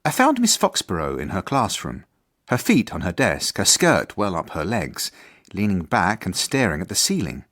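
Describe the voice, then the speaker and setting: A man's voice: deep voice, wildly swooping pitch, normal speed, fairly bright tone, heavily acted. An actor playing a prim, earnest headmaster in a radio drama, formal and easily flustered as he tells his story. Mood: prim recounting. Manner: formal, proper, awkward. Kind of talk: radio drama narration and dialogue.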